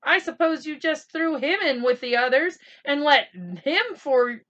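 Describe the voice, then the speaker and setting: Lady reading as a fired-up angry kid in a storybook, emphatic, high and forceful, bit lo-fi. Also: animated, a little slow. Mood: indignant. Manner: emphatic, exasperated. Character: angry, indignant young boy accusing his friend. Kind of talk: children's storybook read-aloud.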